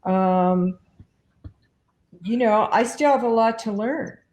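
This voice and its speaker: A woman's voice: fairly high pitch, slow pace, a little mellow. A woman giving casual, informal interview answers as a guest, with a self-deprecating way of talking. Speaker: podcast and comics producer, guest. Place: indoors at home. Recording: echoey room sound.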